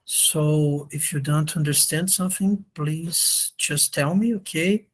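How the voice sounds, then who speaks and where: Slow non-native english lecturer, bit sick, teaching an online class, keeps checking people follow, kinda hesitant. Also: mid-range voice. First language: not English. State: slightly sick, voice not at its best. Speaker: university lecturer. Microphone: video call.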